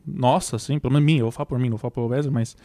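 A man's voice: medium pitch, steady tone, racing, mellow, no echo. Laid-back slangy man, dry sound. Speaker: podcast co-host. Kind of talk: casual podcast conversation.

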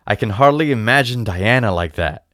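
A man's voice: mid-range voice, normal speed, dry recording, studio quality, natural voice. Man acting an eager, lovestruck young customer. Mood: rapturous. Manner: earnest, excitable. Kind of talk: storybook character voice in a read-aloud tale.

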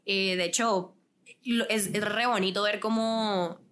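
A woman's voice: mid-range voice, measured pace. Guatemalan woman, interview guest, easygoing chat, lots of fillers. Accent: Guatemalan Spanish. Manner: relaxed, with filler words and frequent restarts. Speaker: guest, singer and actress. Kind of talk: casual interview conversation.

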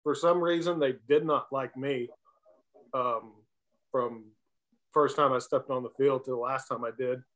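A man's voice: medium pitch, fairly even intonation, unhurried, a bit bright. A man chatting casually as an interview guest, reminiscing in a conversational way. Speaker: interview guest, former baseball player.

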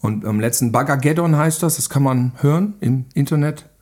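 A man's voice: medium pitch, a little fast, a little mellow. A man talking casually as a podcast guest, telling rambling, self-deprecating anecdotes. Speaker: podcast guest, film director and radio play writer. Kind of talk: casual chat.

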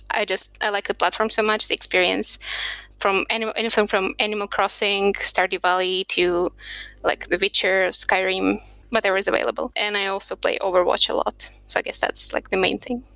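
A woman's voice: medium pitch, steady tone, a little fast, creaky, pushed and bright, dry recording, low-quality recording. A woman from Russia who is a podcast guest, talking through her career in a reflective, chatty way, recorded at a home desk surrounded by books. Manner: reflective, chatty, light laughs. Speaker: podcast guest, product designer. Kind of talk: casual interview chat.

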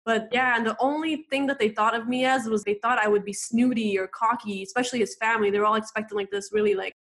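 A woman's voice: fairly high pitch, fairly even intonation, quick pace, a bit bright. An American woman co-hosting an interview, talking casually and eagerly asking the guest questions. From United States. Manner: eager, talkative, asks questions. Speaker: co-host.

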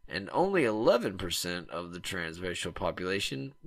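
A man's voice: low voice, sing-song, moderate pace, a bit bright, no echo. A man co-hosting a comedy podcast, trading crude, joking banter and riffing on absurd ideas. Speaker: podcast co-host.

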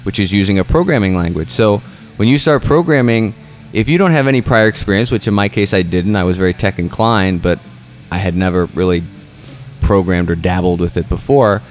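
A man's voice: low voice, a little fast, faint music behind. A man in casual conversation, rambling and often restarting his sentences. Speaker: guest.

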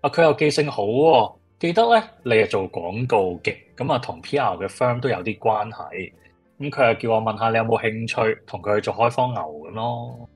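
A man's voice: lowish voice, animated, normal speed, husky, soft background music. A man playing a blunt, teasing, easygoing old friend in a radio drama, with a Hong Kong Cantonese accent. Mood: persuasive.

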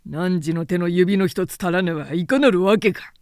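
A man's voice: high-pitched, normal speed, a little mellow, clear, resonant tone, no room sound, studio quality, close to an everyday voice. A woman narrator voicing a menacing male warlord who questions and commands in mocking, lordly archaic speech in a storybook reading. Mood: interrogating. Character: menacing male warlord, sardonic, imperious interrogator.

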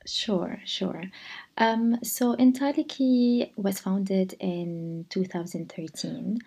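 Soft-spoken woman from lebanon, husky and a bit gravelly, hesitant, thinks out loud. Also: medium pitch, steady tone, slow pace. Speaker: guest, charity founder. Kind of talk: podcast conversation.

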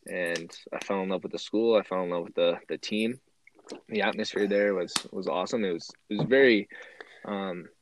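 Deep-voiced young Canadian man recounting. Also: rough recording. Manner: relaxed, thoughtful, frequent ums. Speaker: guest, former university football player. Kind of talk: interview storytelling.

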